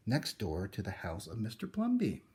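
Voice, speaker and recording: A man reading a children's picture book aloud in an expressive, dramatic storytelling manner. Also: on the low side, normal speed, slight background noise.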